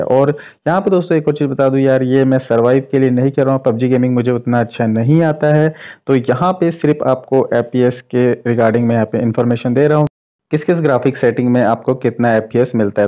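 A man's voice: mid-range voice, dark tone. A man hosting a tech review, giving informal commentary on a phone gaming test and mixing in English tech terms. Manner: informal, repeats filler phrases, English tech terms mixed in. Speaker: tech review channel host.

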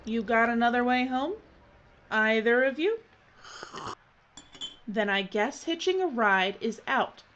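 A woman's voice: a little high, takes it slowly, pauses often, a bit bright, lo-fi sound, a little hiss or hum. An actress playing a jaded, brusque and sarcastic female documentary director. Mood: weary, brusque. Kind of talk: film dialogue.

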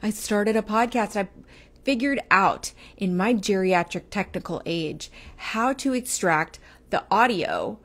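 A woman in her forties with a Texas accent that slips into Midwestern vowels, chatting on a livestream, rambling and breaking off to greet viewers. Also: medium pitch. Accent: Texas, with Midwestern turns. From Texas, United States. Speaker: livestream host. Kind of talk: casual livestream chat. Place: small room indoors. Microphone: earphone headset mic, phone camera close.

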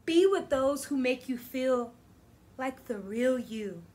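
Young lady teacher doing a kids read aloud, high pitched, takes it slow, expressive and encouraging. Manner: animated, deliberate, encouraging delivery. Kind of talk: expressive children's picture book read-aloud. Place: small indoor room against plain backdrop.

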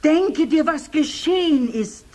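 A woman's voice: high-pitched, animated, takes it slowly, darkish tone. An actress playing a poor, lamenting wood-gathering woman in a children's audio play. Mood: upset, lamenting.

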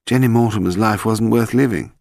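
Man voicing a light, quick-witted wife, pitched up. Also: creaky, a little mellow, no echo, clean studio sound. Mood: speculating. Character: Steve, detective's quick-witted, teasing adult wife.

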